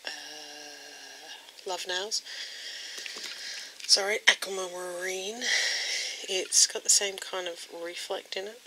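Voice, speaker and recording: A young woman with a croaky voice casually showing nail polish at her desk at home, rambling with lots of ums. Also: fairly low pitch, sharp tone, rough recording. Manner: chatty, rambling with many ums. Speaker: beauty vlogger. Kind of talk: casual product demo. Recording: home recording with clear background noise.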